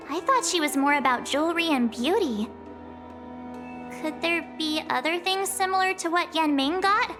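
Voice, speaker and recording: A young girl adventurer from a video game, very high in pitch and unhurried. She is curious and helpful, musing aloud over clear background music.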